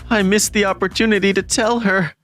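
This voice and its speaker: A man voicing an earnest, lovestruck teenage student who narrates a scripted manga story in a measured way. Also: high-pitched, fairly even intonation, a bit bright. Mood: earnest, reflective. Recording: background music under the voice.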